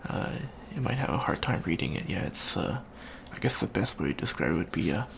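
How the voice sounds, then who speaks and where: A man playing an awkward, bookish young alien college student in an ASMR roleplay, talking softly and hesitantly with many ums and stammers. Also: low-pitched, big pitch swings, a little fast, vocal fry, a little hiss or hum, full character voice. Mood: awkward, earnest. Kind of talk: soft-spoken ASMR roleplay.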